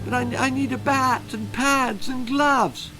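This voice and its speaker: A man's voice: very high voice, fairly even intonation, a little slow, voice pushed up, poor audio. A man voicing a timid, terrified schoolboy in a children's storybook, stammering and pleading in a quaking wail. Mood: frightened. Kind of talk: children's horror-comedy storybook reading. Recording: music behind.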